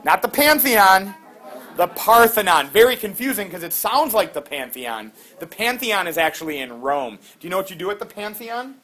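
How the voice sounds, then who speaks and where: A middle-aged man teaching a class, lively and quizzing students with quick questions. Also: high voice.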